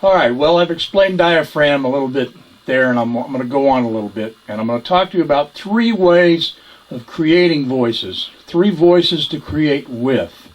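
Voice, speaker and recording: A man teaching ventriloquism informally, explaining things in a halting, searching way. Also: on the high side, expressive, lo-fi sound. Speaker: ventriloquism instructor. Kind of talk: informal instructional talk.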